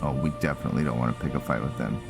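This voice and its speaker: A man's voice: rumbling low, steady tone, very fast, gravelly, over music. A man giving casual commentary on a roleplay video game, muttering to himself and often repeating his words. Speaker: gaming content creator.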